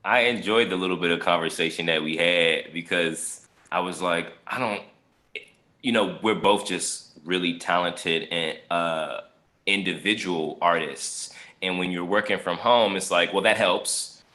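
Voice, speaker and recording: A man's voice: deep voice, measured pace, sharp tone. A man chatting casually as an actor on a video-call panel, loose and colloquial, addressing his colleagues directly. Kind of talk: casual chat.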